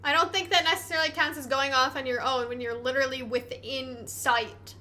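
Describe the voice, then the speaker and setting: Bright, high-voiced woman commentating on gameplay. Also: fairly even intonation, a little fast. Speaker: let's play commentator. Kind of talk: casual reactive gameplay commentary. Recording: noisy, game sound behind. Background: video game audio.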